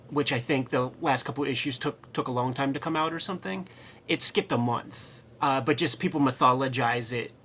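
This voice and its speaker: A man co-hosting a comics show, chatting casually and excitedly in a slangy, profane, riffing way. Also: mid-range voice, slight background noise. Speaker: co-host of a comics review show.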